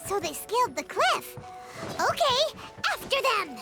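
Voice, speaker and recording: A tiny, outspoken fairy sidekick chirps in an extremely high, squeaky voice. The delivery is bubbly and swoops around excitably, like a childlike video game mascot, over prominent music. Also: female voice, measured pace, heavily acted. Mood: indignant. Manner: chirpy, refers to self in third person. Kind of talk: animated video game character acting. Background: background music.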